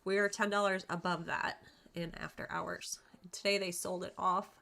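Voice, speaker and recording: A woman with a stuffy nose from allergies, giving a casual trading lesson in a chatty, informal way with frequent ums and throat clearing. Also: lowish voice, little pitch movement, normal speed, sharp tone. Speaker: stock trading educator. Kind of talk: casual chart-analysis lesson.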